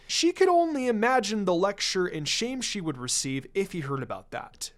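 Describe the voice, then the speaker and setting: Male narrator for fan fiction audiobook, higher-pitched, lively and varied delivery. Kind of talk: scripted story narration.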